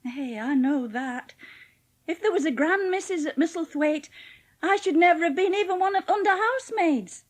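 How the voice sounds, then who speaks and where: A woman's voice: very high voice, moderate pace. A woman playing a cheerful, plain-spoken young housemaid with a Yorkshire accent in a dramatised audiobook. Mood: chatty, frank. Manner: chatty, blunt, homely dialect speech.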